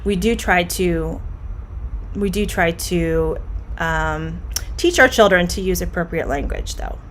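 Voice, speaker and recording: A middle-aged woman with a Midwestern American accent, teaching English idioms on a live stream, friendly and repeating phrases slowly. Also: on the low side, a little hiss or hum.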